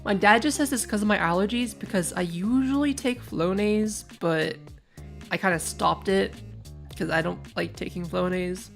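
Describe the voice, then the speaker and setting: A young woman with a raspy voice, chatting in a rambling, filler-heavy way while she plays a game on a live stream, speaking into a desk microphone. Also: mid-range voice, moderate pace, faint music behind. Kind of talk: casual live-stream gaming chat.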